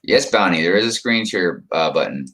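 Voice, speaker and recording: Deep-voiced casual man chatting with viewers. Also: lively intonation, moderate pace, a bit bright. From United States. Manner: rambling, chuckling, talks to viewers by name. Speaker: livestream host reading chat. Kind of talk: casual chat.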